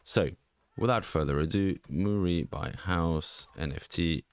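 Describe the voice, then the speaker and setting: Very deep-voiced man with a Swiss French accent. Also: a little slow, clear, resonant tone, no room sound. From French-speaking Switzerland. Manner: rambling, thinks aloud with many fillers and restarts. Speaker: NFT market analyst host. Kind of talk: casual screen-share commentary.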